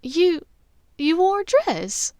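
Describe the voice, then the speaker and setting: A woman's voice: very high voice, sing-song, slow, a little mellow, no echo, clean studio sound, pitched above the performer's own voice, heavily acted. A woman playing an earnest, decisive young witch sweetheart, speaking emphatically. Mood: incredulous.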